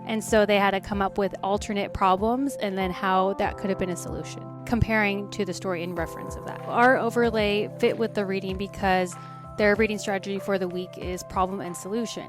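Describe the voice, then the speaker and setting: A woman teacher giving an informal explanation. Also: medium pitch.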